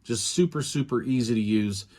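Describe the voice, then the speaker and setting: A man talking at an ordinary, middle pitch. He walks through a hands-on demo at a relaxed, unhurried pace and wanders between points. Ums and uhs come up often, like an everyday home video review. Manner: easygoing, rambling, frequent ums and uhs. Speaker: radio product reviewer. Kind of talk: casual hands-on product demo.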